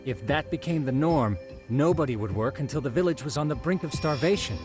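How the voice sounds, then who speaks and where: A man's voice: a little high, expressive, fairly quick, close to an everyday voice. An actor voicing a nerdy, earnest male detective in a video game, explaining things in a precise, didactic way. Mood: earnest, lecturing. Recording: background music under the dialogue.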